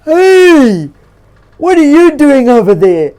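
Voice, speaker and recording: A woman's voice: sits high, very animated, slow, mellow, voice pushed up, heavily acted. A woman voicing a friendly male grizzly bear in a children's storybook, hollering a hearty welcome. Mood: hearty, inviting.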